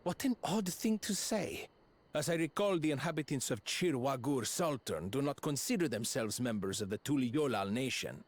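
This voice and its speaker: A man's voice: medium pitch, expressive, normal speed, natural voice. A man voicing a sly, smug older royal schemer in a fantasy game, with a dry, mocking, formal delivery. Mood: condescending. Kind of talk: fantasy video game acting.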